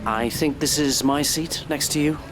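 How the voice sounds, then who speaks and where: An actor playing a grumpy, snooty traveller in a comedy audio play, dry, curt and pedantic. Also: male voice, on the high side, moderate pace, noisy background, lightly acted. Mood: curt, wary.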